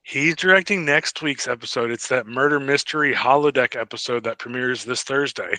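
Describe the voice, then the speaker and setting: A man's voice: mid-range voice, a little fast, sharp tone, dry recording. A man co-hosting a podcast in casual fan chat, keen and full of his own opinions. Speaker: podcast co-host.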